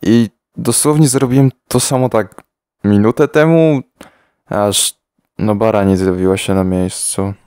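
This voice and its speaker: Guy doing a fed up teen fighter, deadpan, lowish smooth voice, soft music behind. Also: measured pace, dry recording, clean studio sound. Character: weary teenage boy fighter, fed up and sarcastic. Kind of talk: fan dub comedy parody.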